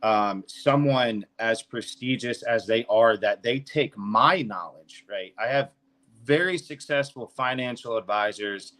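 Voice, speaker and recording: A man's voice: mid-range voice, unhurried, fairly bright tone. Man, 30s, mid-range voice. Unscripted, emphatic talk with plenty of swearing and self-promotion. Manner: emphatic, profane, boastful. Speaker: financial consultant and podcast speaker.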